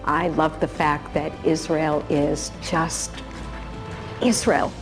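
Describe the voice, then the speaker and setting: A woman's voice: low-pitched, little pitch movement, slow, gravelly, over music. A middle-aged woman sharing personal reflections in a hesitant, emotional way. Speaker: interviewee giving a testimonial.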